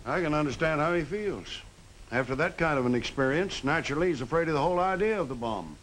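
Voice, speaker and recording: Pragmatic small town booster guy, salesman talk, swingy pitch, slightly noisy 50s movie audio. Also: lively intonation, quick pace, fairly bright tone. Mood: matter-of-fact. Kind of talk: 1950s film drama acting. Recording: noisy old 1950s film soundtrack.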